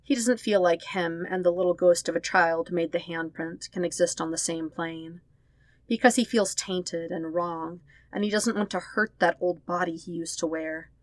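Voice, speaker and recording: A woman narrates a piece of fiction in a slightly low register. Her delivery is expressive, putting weight on emotional words. The recording is dry, with hardly any room sound around the voice. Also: moderate pace, no echo. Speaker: fan fiction podfic reader. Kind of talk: scripted story narration.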